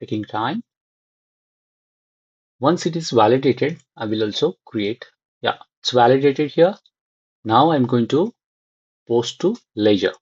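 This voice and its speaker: A man giving an unscripted screen-share software tutorial, thinking aloud in short, halting fragments. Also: mid-range voice, expressive, breathy edge, no echo, lo-fi sound.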